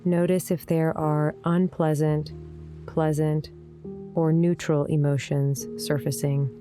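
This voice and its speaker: Low, mellow woman guiding a meditation. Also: level tone. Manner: gentle, soothing, unhurried delivery with deliberate pauses. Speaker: meditation guide, yoga teacher. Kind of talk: guided meditation, scripted narration. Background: soft instrumental music.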